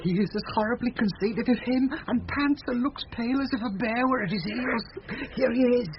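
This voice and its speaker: Very high-voiced sly servant. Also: male voice, a little fast. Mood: mocking, excited. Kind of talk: comic Shakespearean radio acting. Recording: dull, noisy 1970s radio recording.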